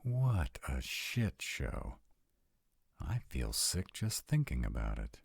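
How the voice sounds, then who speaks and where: A man's voice: rumbling low, wildly swooping pitch, slow pace, vocal fry, slightly rough, no echo, clean studio sound, heavily acted. A man playing a troubled young sarcastic vampire, confiding casually and with some swearing and hesitation in an intimate audio roleplay. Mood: tense, dismayed.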